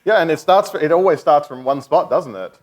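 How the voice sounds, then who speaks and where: A man's voice: on the high side, brisk, darkish tone. A man chairing a conference panel, chatty and joking as he introduces guests and asks questions. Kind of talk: live panel hosting. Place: conference stage before an audience.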